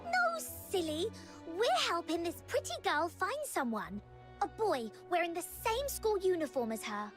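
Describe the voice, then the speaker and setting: A woman's voice: very high-pitched, sing-song, unhurried, heavily acted. A woman voicing an anxious, earnest young schoolgirl in a video game, with a girlish voice. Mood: curious. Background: background music.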